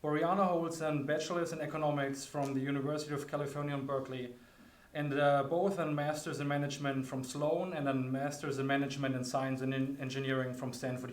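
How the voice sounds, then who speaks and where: Young man reading a speaker bio. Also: fairly high pitch. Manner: reads a prepared bio, some fillers. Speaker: event introducer. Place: lecture hall. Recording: roomy hall sound.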